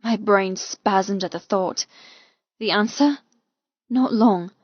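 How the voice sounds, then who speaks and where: A woman reading a first-person romance audiobook with intense, expressive, dramatic delivery. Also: mid-range voice, slow, breathy edge, no room sound, lo-fi sound. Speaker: audiobook narrator.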